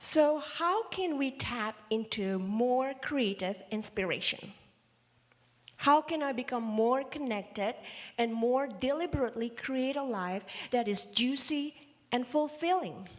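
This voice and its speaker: A woman who grew up in Indonesia, preaching a sermon with measured, playful storytelling. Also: a little high, animated. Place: large church hall. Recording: reverberant church hall livestream.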